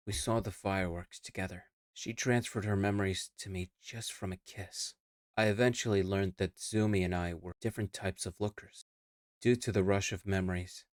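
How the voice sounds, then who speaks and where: Low-voiced man telling a somber tale. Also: no echo, studio quality. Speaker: VTuber narrating his character's lore. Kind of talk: scripted first-person audio-log narration.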